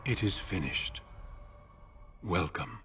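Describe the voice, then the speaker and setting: A man voicing a grave, solemn commander who speaks in measured tones. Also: deep voice, expressive, over music. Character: grave middle-aged male warrior commander, solemn. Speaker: game character.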